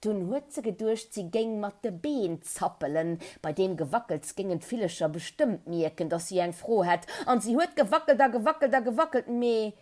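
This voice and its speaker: A woman with a Luxembourgish accent telling a children's story in a dramatic, animated way, with emphatic build-ups and repetition. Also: medium pitch, normal speed, few pauses.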